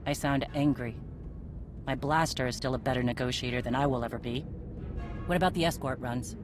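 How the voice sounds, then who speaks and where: A stern warrior chief from a sci-fi game, blunt and used to command. Her voice is on the low side for a woman, with music underneath. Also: a little fast. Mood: frustrated, guarded. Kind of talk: video game dramatic acting.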